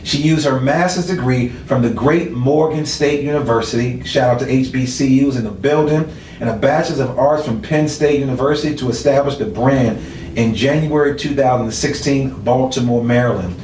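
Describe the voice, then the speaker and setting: A man's voice: medium pitch, moderate pace, darkish tone, slight background noise. A man hosting a casual interview show, teasing and slangy in his delivery. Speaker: talk show host. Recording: echoey room, distant mic.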